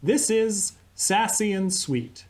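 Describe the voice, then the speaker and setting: A man's voice: high voice, animated, takes it slowly. An actor playing an eager male radio co-host who tells his story in puns. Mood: cheerful. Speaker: co-host of a radio comedy sketch. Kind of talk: live radio comedy acting.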